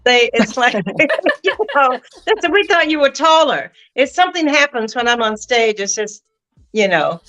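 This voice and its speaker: A woman's voice: a little high, very animated, moderate pace, a bit bright. An older woman, a veteran singer, chatting as a guest and telling stories with expression. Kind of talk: casual interview chat.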